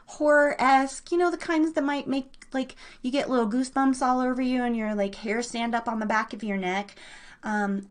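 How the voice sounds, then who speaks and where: A woman hosting a live horror story podcast, chatting casually and informally, repeating words for emphasis. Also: high-pitched. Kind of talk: casual live show chat.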